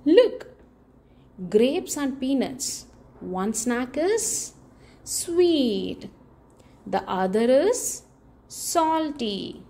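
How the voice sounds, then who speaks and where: A woman with a fairly high voice reads a children's picture book aloud. Her pace is very unhurried and her pitch swings widely as she stresses key words. She speaks straight to young listeners, often putting questions to them. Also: big pitch swings, gravelly, warm tone. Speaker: children's book reader, channel host.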